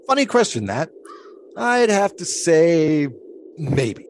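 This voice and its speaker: An actor playing an eccentric, philosophical 1920s daredevil in an audio drama, serenely cryptic and teasing, with ornate phrasing. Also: male voice, a little high, big pitch swings, slow pace, a little hiss or hum. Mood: haunted, cryptic.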